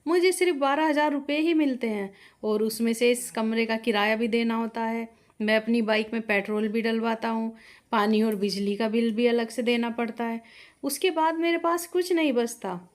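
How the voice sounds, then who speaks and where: A woman's voice: fairly high pitch, fairly even intonation, moderate pace, natural voice. A woman voicing a practical young husband in a moral story, explaining and remonstrating. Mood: exasperated. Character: young husband, practical, reasoning, budget-minded.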